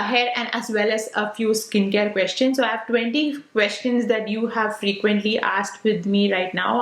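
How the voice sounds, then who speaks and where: A woman in her late twenties with an Indian English accent, a Tamil speaker, answering viewer questions in a casual vlog, talking loosely with lots of "uh" and "like". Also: fairly high pitch. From South India. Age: 20s. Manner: chatty, many fillers like "uh" and "like". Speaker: beauty vlogger. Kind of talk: casual Q&A to camera. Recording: roomy echo.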